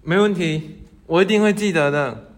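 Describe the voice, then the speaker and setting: A man's voice: a little high, normal speed, natural voice. A young man playing an earnest, hesitant young scholar in love, in an amateur radio drama. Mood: willing. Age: young adult. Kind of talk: student radio drama acting.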